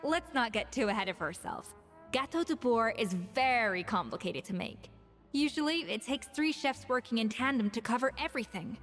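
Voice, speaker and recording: A woman voicing a proud, exacting young female master chef in a video game, crisp and self-assured. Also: fairly high pitch, expressive, normal speed, fairly bright tone. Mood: proud, demanding. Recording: game music behind voice.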